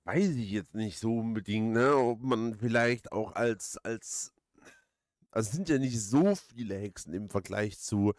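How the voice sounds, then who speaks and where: A man giving casual gaming commentary, halting and repeating words as he rambles. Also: fairly low pitch, no room sound, studio quality. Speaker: let's play host.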